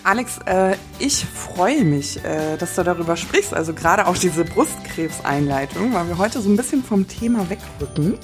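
A woman's voice: lowish voice, animated, moderate pace, hardly any pauses, music in the background. A woman co-hosting a podcast, talking in a friendly, hesitant way with many fillers. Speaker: podcast co-host. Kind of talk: casual podcast interview.

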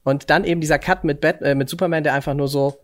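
A man's voice: a little high, fairly even intonation, fast talker. A man co-hosting a podcast in casual chat, slangy and dropping in English words. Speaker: podcast co-host. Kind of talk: casual chat about games and comics.

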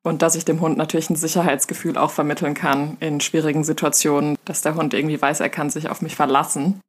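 A woman's voice: deep voice, level tone, fast, husky. A woman co-hosting a casual podcast, giving thoughtful answers and laughing now and then. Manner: thoughtful, warm agreement, giggly. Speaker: dog trainer, podcast co-host.

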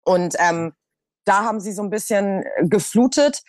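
A woman radio presenter from Hamburg talks in a relaxed roundtable, hammering her points home by repeating them. Her voice sits at an ordinary middle pitch for a woman. The recording is close and dry, with no room sound. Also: unhurried, no room sound. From Hamburg, Germany. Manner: emphatic, repeats points for stress. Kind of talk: casual roundtable discussion.

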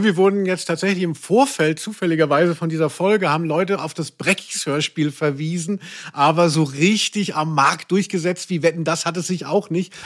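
A man co-hosting a podcast in casual chat, wry and rambling, often feeling for his words. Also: on the high side. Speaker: podcast co-host. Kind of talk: casual chat about audio dramas.

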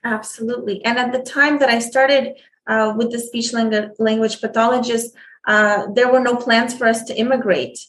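A woman guest telling her story in an interview, speaking haltingly with many fillers and restarts. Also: fairly high pitch, steady tone, echoey room. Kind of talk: conversational interview.

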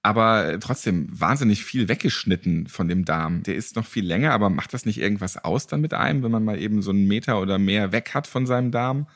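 A man's voice: fairly low pitch, brisk, hardly any pauses, no room sound, studio quality. A man hosting a podcast in a colloquial, playful and flippant way, chatting casually and asking questions. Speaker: podcast host. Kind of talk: casual, jokey interview questions and podcast announcements.

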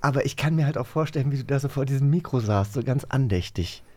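A man's voice: mid-range voice, quick pace, warm tone. A man co-hosting a casual podcast, chatting in a joking, teasing manner. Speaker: podcast co-host. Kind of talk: casual chat.

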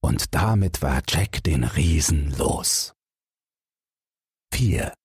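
Guy narrating a bedtime fairy tale, deep and very husky, slow, dry recording. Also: lively intonation, darkish tone, dry recording. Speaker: audiobook narrator.

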